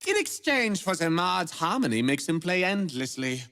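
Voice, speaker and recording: An actor playing a wry, expressive game character explaining a mission's background. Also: male voice, high voice, moderate pace, heavily acted. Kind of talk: video game dialogue.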